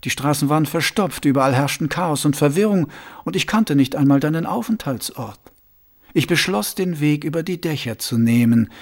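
A man voicing a suave, cool-headed male city ruler and former assassin who talks in a smooth, measured, faintly amused way, a little higher than the narration. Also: expressive. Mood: silky, measured.

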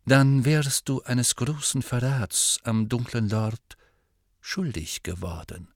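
A man voicing a guarded, commanding wizard in an audiobook, with a measured, aloof and emphatic manner. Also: mid-range voice, steady tone, no room sound, studio quality. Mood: quiet, controlled.

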